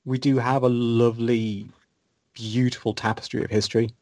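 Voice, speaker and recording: A man's voice: mid-range voice, little pitch movement, normal speed, a little mellow, no room sound, studio quality. Man with a mixed Midlands-Scottish accent. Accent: mixed English Midlands, Yorkshire and Scottish. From Leicester, England. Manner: repeats words at starts of sentences. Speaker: guest, podcast host. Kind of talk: casual chat.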